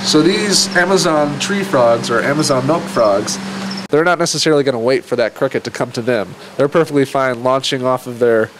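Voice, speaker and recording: A man's voice: mid-range voice, fairly quick, noisy background. A man speaking as a zoo keeper, giving a casual, explanatory educational presentation. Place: zoo exhibit area.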